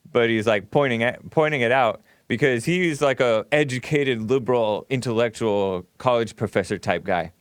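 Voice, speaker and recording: A middle-aged man rambles through a casual monologue, correcting himself as he goes. His voice sits at an ordinary pitch and sounds smooth and resonant. It is recorded close and dry, with no room sound around it. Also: no room sound. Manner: rambling, with frequent fillers and self-corrections. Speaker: co-host of a stream.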